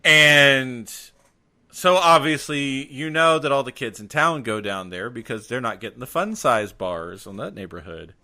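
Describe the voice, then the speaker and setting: A man's voice: mid-range voice, expressive, a little slow, pushed and bright. A man co-hosting a podcast in casual chat, joking and grumbling in long rambling rants. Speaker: podcast co-host.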